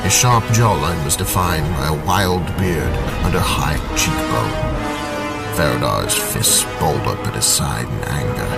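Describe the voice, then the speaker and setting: A man narrating a dramatic fantasy story from a script in a deliberate, theatrical manner. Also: sits low, creaky. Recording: very loud music under the voice. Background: cinematic soundtrack music.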